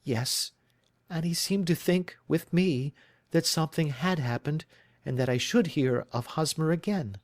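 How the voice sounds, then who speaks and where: A man's voice: a little slow, darkish tone, no echo, clean studio sound. A man voicing an earnest young woman who is anxious and devoted to her missing fiancé, in a raised, pleading voice. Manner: pleading, distressed, higher voice.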